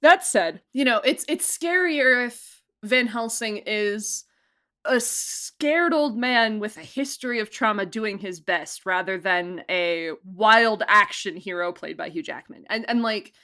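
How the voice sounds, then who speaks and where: A woman giving a casual interview as an audio drama director, talking in an animated, chatty way that wanders into asides. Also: medium pitch, a little slow. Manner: animated, chatty, digressive storytelling.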